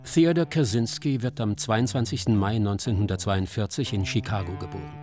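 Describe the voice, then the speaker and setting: A man's voice: on the low side, normal speed, darkish tone, faint music behind. A man narrating a scripted radio documentary drama in a sober, clipped manner. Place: dry studio.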